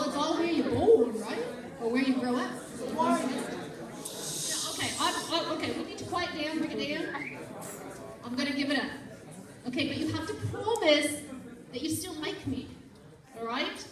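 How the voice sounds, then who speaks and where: A woman teaching a class, playfully teasing her students as they guess where she is from. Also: sits high. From Burbank, California. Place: large classroom or hall. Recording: echoey room, distant mic, chatter.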